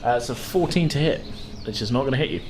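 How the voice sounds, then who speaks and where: A man's voice: medium pitch, quick pace, heavy background noise. A man casually narrating a tabletop game as game master, informal and sweary. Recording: music playing behind the voice. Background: loud music.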